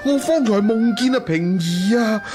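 A wealthy, lecherous husband from a radio drama. His delivery swings widely, slipping between evasive and coaxing, with a Hong Kong Cantonese accent. Music plays clearly behind him. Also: high voice, animated, unhurried. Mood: agitated.

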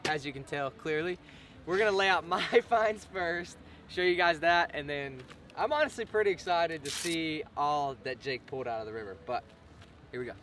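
A young man with a bright, slightly high voice talks through his finds at an outdoor picnic table. He is upbeat and chatty and laughs easily. Faint outdoor noise sits behind him. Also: expressive, normal speed. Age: young adult. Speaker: treasure-hunting diver and vlogger. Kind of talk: casual show-and-tell vlog.

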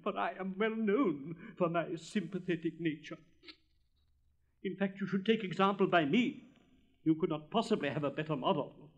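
A man's voice: sits high, voice pushed up. A man voicing a vain, pompous male firework rocket in a storybook reading, drawing out his words with haughty self-importance. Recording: dull lo-fi recording.

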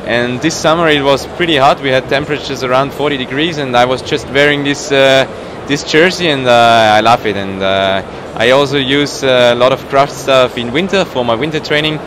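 A man's voice: medium pitch, normal speed. A man of about thirty, not a native English speaker, giving a casual interview as a professional cyclist, searching for his words. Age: around 30. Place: indoor trade show booth. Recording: noisy trade show hall, background ambience.

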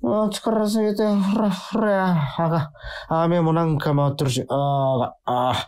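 Man as weary flustered father, Kansai accent, raised pitch, small factory boss, radio drama. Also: fairly even intonation, moderate pace, darkish tone, clean tone, lightly acted. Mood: worn out.

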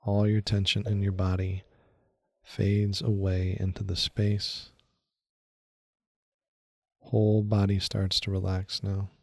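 A man leading a guided meditation in a soothing, unhurried way, speaking in short phrases with long pauses. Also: lowish voice, monotone, vocal fry, a little mellow, dry recording, clean studio sound.

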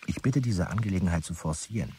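Very deep, officious marketing chief. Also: male voice, lively intonation, fast talker, mellow, slight background noise. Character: pedantic, self-important male marketing chief dictating mail. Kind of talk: German audio drama, dictated messages.

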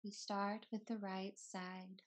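Woman guiding meditation very slowly. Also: medium pitch, flat delivery. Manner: soothing, unhurried, short phrases with long pauses. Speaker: yoga teacher leading a guided meditation. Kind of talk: slow guided meditation narration.

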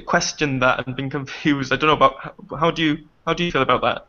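A man's voice: medium pitch, steady tone, fast talker, pushed and bright. A young man, a performer and writer, talking hesitantly with many fillers and restarts in a casual panel discussion over a video call from a small dim room. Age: young adult. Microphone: laptop webcam video call. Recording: muffled video call audio.

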